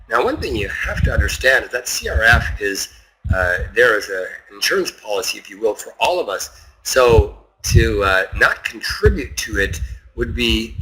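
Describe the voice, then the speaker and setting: A man's voice: lowish voice, a bit bright. A man giving an informal, instructional presentation on a conference call, explaining step by step and repeating points for emphasis. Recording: noisy conference call with clicks.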